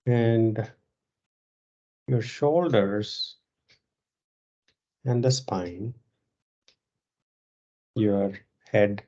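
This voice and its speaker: A yoga teacher guiding a meditation in a calm, measured way, pausing between short phrases, on a dull, muffled recording. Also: mid-range voice. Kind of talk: guided meditation instruction.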